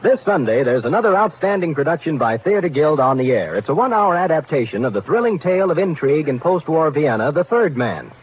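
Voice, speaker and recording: A man's voice: a little high, sing-song, quick pace, few pauses. A man with an American accent announcing programs in the polished, promotional style of 1950s network radio. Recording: tinny old radio broadcast, faint music.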